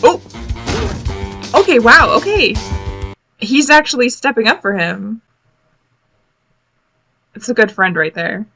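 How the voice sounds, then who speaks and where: A woman giving casual, expressive live reaction commentary on a cartoon as a video host, full of exclamations. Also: a little high, takes it slowly, long pauses, soft background music. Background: cartoon soundtrack playing.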